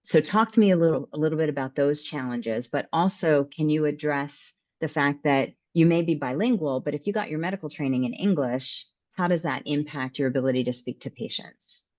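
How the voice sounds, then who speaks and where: A woman's voice: deep voice, a little fast, few pauses, darkish tone, muffled recording. A woman hosting a webinar discussion, prompting her guest with follow-up questions and relaying audience comments. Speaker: host and interpreter.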